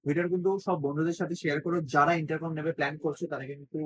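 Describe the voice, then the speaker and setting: A man's voice: on the high side, little pitch movement, rapid-fire. A man with a Bengali accent talking casually to viewers as a vlogger, mixing in English words. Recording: clear vehicle and ambient noise.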